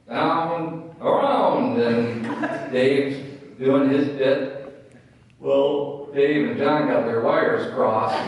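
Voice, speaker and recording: A man tells informal stories from some distance away in a strongly reverberant room, with faint noise around him. His pace is unhurried and halting, with an amused, anecdotal way of talking. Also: medium pitch. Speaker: speaker telling anecdotes at a memorial. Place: echoey room at a memorial gathering.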